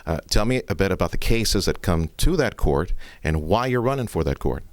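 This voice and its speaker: A man's voice: deep voice, lively intonation, normal speed, no echo. A man hosting an interview, introducing the topic and putting questions to a guest. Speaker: radio interviewer.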